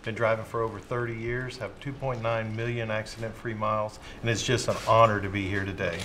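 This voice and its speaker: A veteran truck driver introducing himself proudly with a touch of dry humor. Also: male voice, on the low side, flat delivery, a little fast. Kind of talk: formal self-introduction. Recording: noisy room with clicks.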